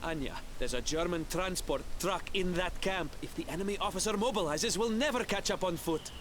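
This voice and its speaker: An actor playing an urgent partisan fighter in a military game, reporting tersely. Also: male voice, high-pitched, fairly quick, lightly acted. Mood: urgent, alarmed. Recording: loud vehicle and battle noise.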